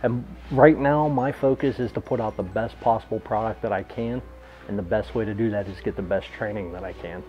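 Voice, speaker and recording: A man's voice: fairly low pitch, steady tone, brisk. A man from Pennsylvania giving an earnest testimonial about his detailing training. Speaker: auto detailing student. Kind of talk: testimonial interview. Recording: slightly dull, muffled sound. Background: soft background music.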